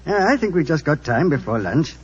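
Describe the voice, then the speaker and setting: An actor playing a touchy, suspicious middle-aged English husband in a 1940s radio drama, with a brusque, edgy British delivery. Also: male voice, fairly high pitch, big pitch swings, brisk. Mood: proud. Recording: muffled, noisy 1940s radio recording.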